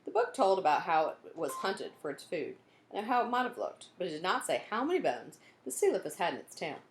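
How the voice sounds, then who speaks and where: Animated woman reading a children's story. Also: fairly low pitch, fairly quick, roomy sound, a little hiss or hum. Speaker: storybook reader. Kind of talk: expressive children's read-aloud narration.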